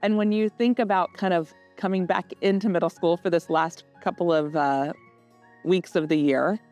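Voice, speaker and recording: A woman's voice: on the low side, normal speed, soft background music. Woman hosting a reflective school interview. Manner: reflective, asks open questions with gentle follow-ups. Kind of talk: conversational school podcast interview.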